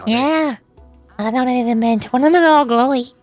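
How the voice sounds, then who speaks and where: A woman streaming a video game in a high, cute voice. She chats playfully and reads dialogue aloud between her own comments. Faint music sits behind her. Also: fast, darkish tone. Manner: cutesy, playful, chatty.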